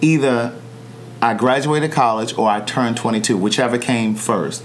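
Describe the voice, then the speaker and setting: A man hosting a casual conversation, telling stories with lively quoted voices. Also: medium pitch, moderate pace, slight background noise. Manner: animated storyteller, acts out quoted voices. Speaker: podcast host.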